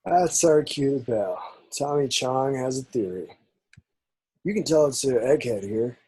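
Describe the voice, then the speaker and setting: Man playing cocky, husky young college jock. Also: medium pitch, animated, a little slow, mellow, no room sound. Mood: mocking. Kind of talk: amateur screenplay table read.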